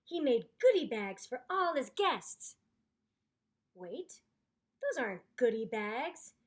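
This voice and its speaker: A woman reading a children's story aloud in an expressive, theatrical, deliberate way. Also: fairly high pitch. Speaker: storyteller, voice actor.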